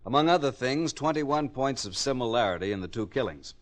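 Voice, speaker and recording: A man with an American accent playing a grave, assured psychology professor in a 1950s radio drama, measured and precise. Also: medium pitch, expressive, close to an everyday voice. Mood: grave, certain.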